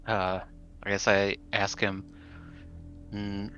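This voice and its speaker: A man with a low, bright voice takes part as a player in a tabletop game. He speaks casually and tentatively, improvising as he goes, on a slightly dull recording. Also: little pitch movement, takes it slowly, soft background music.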